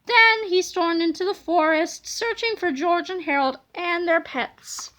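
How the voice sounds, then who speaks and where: A woman narrating a children's storybook aloud in an expressive read-aloud style. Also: very high voice, a little slow, low-quality recording.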